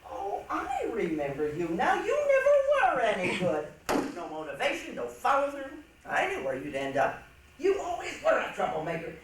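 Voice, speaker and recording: A woman's voice: fairly high pitch, wildly swooping pitch, normal speed. A woman acting in reader's theatre as a prim, strict, self-righteous older schoolteacher with a proper, condescending manner. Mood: indignant, scolding. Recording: echoey hall from far off, hissy.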